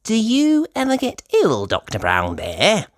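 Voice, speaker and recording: A storyteller voicing a curious, earnest little girl pig in a childlike, exclaiming, drawn-out way for a children's storybook. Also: mid-range voice, wildly swooping pitch, dry recording, studio quality, pitched above the performer's own voice. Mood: curious, concerned.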